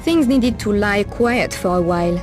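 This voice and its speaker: A woman's voice: mid-range voice, moderate pace, music in the background, lightly acted. A woman playing a world-weary, streetwise Parisian streetwalker in a video game, knowing, familiar and sardonic. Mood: gossipy, knowing. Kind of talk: video game cutscene acting.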